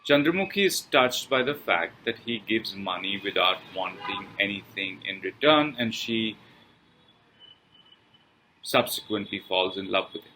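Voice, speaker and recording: A man reading a scripted film review as presenter, with measured, halting, deliberate phrasing. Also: on the low side, expressive, pushed and bright, a little hiss or hum, rough recording. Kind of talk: scripted read-aloud review with pauses.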